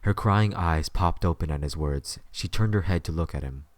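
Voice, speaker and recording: A man's voice: low voice, moderate pace, dry recording. A man narrating a scripted story as the narrator of an audio drama.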